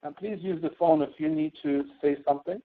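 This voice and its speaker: Male tutorial instructor, slightly high-pitched, informal and halting, heard through narrow phone-like audio. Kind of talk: spreadsheet tutorial, live online lesson.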